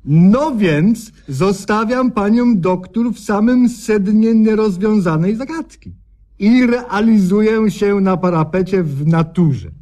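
High-voiced, grumbling male patient. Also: slow pace, mellow. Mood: bitter, grumbling. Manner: folksy, grumbling, colloquial. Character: aggrieved, envious, courtly male patient, plain-spoken. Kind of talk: satirical radio sketch acting.

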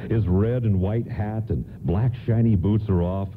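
A man's voice: fairly low pitch, unhurried, close to an everyday voice. A man playing an earnest radio narrator and announcer, reading a scripted old-time radio play. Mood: storytelling. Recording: tinny radio broadcast, faint noise.

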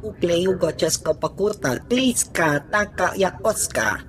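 A woman's voice: fairly low pitch, lively intonation, unhurried, a little hiss or hum. A woman voicing an alien gangster in a video game, speaking choppy phrases of an invented alien tongue. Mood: guarded. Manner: nonsense syllables in choppy phrases.

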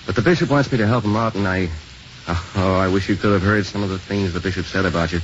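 An actor playing an easygoing, reassuring younger priest who coaxes gently and hesitates, in a 1950s radio drama style. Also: male voice, low-pitched, fast talker, lightly acted. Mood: hesitant, earnest. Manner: soothing, hesitant when breaking news. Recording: muffled, hissy 1950s radio recording.

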